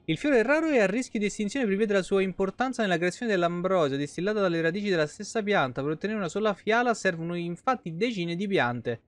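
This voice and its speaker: Higher-pitched male game streamer, dry sound. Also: expressive, fast, few pauses, no room sound. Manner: informal, crude slang. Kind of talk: casual live commentary.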